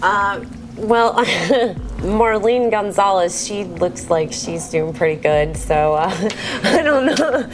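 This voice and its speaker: A woman's voice: mid-range voice, animated, slow pace, background noise. A woman giving blunt, judgmental, unscripted opinions as a game show panelist. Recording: music behind the talk.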